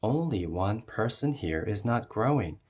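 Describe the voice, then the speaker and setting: A man plays a kind storybook schoolmistress, encouraging and reassuring. The voice sits low and a little husky, with an instructive manner. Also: expressive, unhurried, a little mellow, full character voice.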